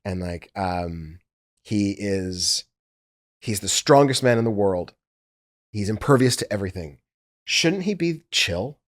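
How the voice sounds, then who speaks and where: A man with a fairly low voice talks casually as a producer looking back on his work. He tells it like a story, voicing his own past thoughts as he goes. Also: lively intonation, a little slow, long pauses. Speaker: audiobook executive producer. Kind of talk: casual behind-the-scenes conversation.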